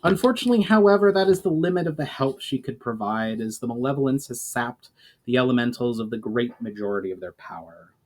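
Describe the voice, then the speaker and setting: Man playing a grave elf mage, animated delivery. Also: medium pitch, fast, natural voice, rough recording. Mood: earnest. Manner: formal, earnest, explanatory. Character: grave, knowledgeable male elf mage on a quest.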